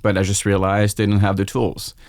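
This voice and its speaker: A man in his forties speaks English with a French accent, his low voice open and reflective as he tells his story. The sound is dry and close, with no room around it. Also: completely flat delivery, a little fast, a little mellow, no echo. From France. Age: 40s. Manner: reflective, open, quoting his own thoughts aloud. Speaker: guest chef. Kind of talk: candid podcast conversation.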